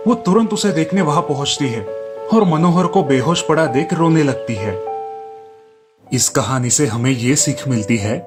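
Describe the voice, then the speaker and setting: Male narrator for children's moral tale: scripted storytelling, slightly high register, clear music bed. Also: expressive, normal speed.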